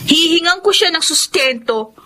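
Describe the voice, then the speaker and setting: An actress with a Filipino accent playing a spoiled, resentful young daughter in a radio drama, blunt and defiant. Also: female voice, very high-pitched, lively intonation, moderate pace, pushed and bright, full character voice.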